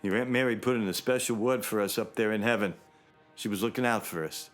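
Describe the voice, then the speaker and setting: Kindly, reassuring uncle played by an actor. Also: male voice, on the low side, fairly quick, soft background music, close to an everyday voice. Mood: warm, relieved. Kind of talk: audio drama acting.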